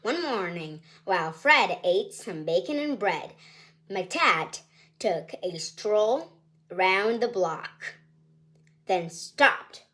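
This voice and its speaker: Woman reading a picture book to kids, cheerful and chatty, unhurried, singsong rhythm. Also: medium pitch, animated, a bit bright. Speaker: children's book reader, channel host.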